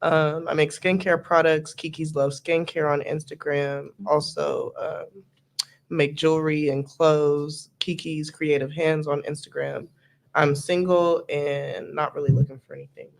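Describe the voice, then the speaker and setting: A woman in her twenties introducing herself on a podcast panel, brisk and matter-of-fact. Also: low-pitched, little pitch movement, gravelly. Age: 20s. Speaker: panel guest.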